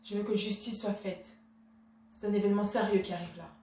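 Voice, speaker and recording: Actrice jouant une noble dame outragée, voix lointaine et résonnante. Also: female voice, a little high, fairly even intonation, takes it slowly, noisy background. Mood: cold, menacing. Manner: imperious, declamatory, formal address. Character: proud, outraged Renaissance noblewoman, an insulted duchess wife. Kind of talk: theatrical classical stage monologue. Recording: echoey room, distant microphone.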